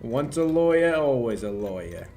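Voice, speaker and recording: A young man playing a smooth-talking crook in a 1940s radio play, breezy and sardonic with menace underneath. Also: medium pitch, sing-song, normal speed, mellow, slight background noise, lightly acted. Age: young adult.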